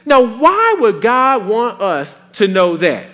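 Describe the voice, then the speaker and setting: A man preaching a sermon in a folksy, animated way with playful asides. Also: high-pitched, slow pace.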